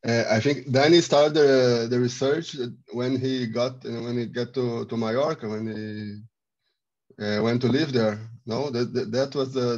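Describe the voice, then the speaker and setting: A man from Brazil, a cameraman, answering on a film panel in halting, restart-filled speech over a video call. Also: mid-range voice, a little mellow, dull audio. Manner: halting, many restarts, non-native phrasing. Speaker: filmmaker, cameraman.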